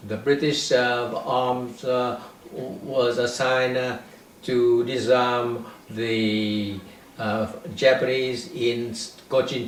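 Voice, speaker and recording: A man in his eighties from North Vietnam, a professor answering interview questions in a hesitant way, with many ums and restarts. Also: medium pitch. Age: 80s. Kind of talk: slow reminiscing interview answer. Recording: echoey room, mic at a distance, faint hiss.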